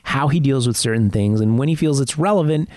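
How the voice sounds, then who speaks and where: A man's voice: medium pitch, fairly quick, a little mellow, dry recording, clean studio sound. A man in his thirties hosting a podcast, introducing and chatting with a guest in a friendly way. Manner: friendly, conversational, slight hesitations. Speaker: podcast host and interviewer. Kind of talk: podcast intro and interview chat.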